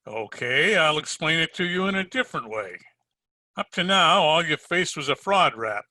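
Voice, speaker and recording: A man's voice: on the high side, animated, moderate pace, pushed and bright. A man playing a wisecracking, sardonic private detective in an old-time radio drama, slangy and needling. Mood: pressing, sardonic. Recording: home recording over video call.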